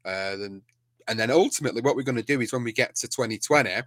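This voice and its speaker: A man's voice: mid-range voice, quick pace, fairly bright tone, dry recording, studio quality. A man with a Manchester accent, a podcast co-host, talking casually in an interview with lots of fillers and restarts. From England. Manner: rambling, many fillers and restarts.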